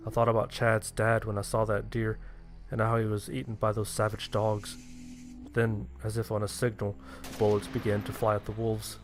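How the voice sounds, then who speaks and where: Low, very even male horror narrator. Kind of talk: first-person scripted horror narration.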